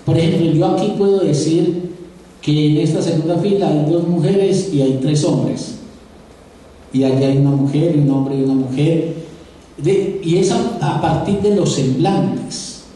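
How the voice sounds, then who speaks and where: A man's voice: a little high, a little slow, warm tone. A man with a Paisa accent from Antioquia, giving a live lecture as a professor, talking conversationally to his audience and often repeating words while he thinks. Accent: Paisa (Antioquian Spanish). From Antioquia, Colombia. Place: auditorium. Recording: echoey auditorium from far off, faint noise.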